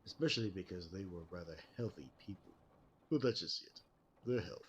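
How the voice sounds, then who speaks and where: A low, grave American voice from a man in character as an ageless detective in an audio roleplay. He explains things calmly and formally, in measured phrases, over audible background noise. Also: poor audio. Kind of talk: scripted roleplay audio drama.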